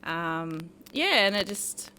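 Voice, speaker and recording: An Australian woman with a voice pitched low for a woman talks casually as an interview guest. She rambles with lots of ums and laughs easily. A faint hum of noise sits behind her. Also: big pitch swings, slow pace, sharp tone. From Australia (New South Wales, raised in Queensland). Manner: chatty, rambling, many fillers, laughs easily. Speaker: guest, mixed martial arts fighter.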